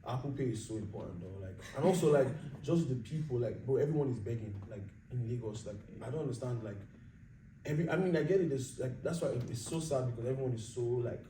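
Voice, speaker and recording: Fast-talking young Nigerian man, echoey room. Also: mid-range voice. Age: young adult. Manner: animated storytelling, laughing often, says "bro" a lot. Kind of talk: casual chat. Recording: echoey room, mic at a distance, faint background noise.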